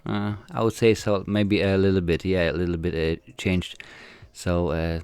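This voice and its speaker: Polish filmmaker, 40s, low and smooth, halting answers full of fillers. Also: male voice, flat delivery, darkish tone, no room sound. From Poland. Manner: hesitant, many fillers and restarts. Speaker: filmmaker guest. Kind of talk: casual interview answers.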